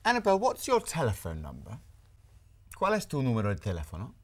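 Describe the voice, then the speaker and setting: Female lesson host, very deep voice, lively intonation, clear deliberate delivery. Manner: clear, deliberate model pronunciation. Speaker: language teacher host. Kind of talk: bilingual English lesson presenting.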